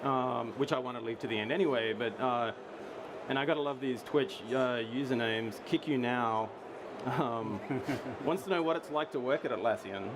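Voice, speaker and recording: A man's voice: medium pitch, normal speed. Male host, mid-range voice, playful questions over heavy event noise. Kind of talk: casual live-stream interview.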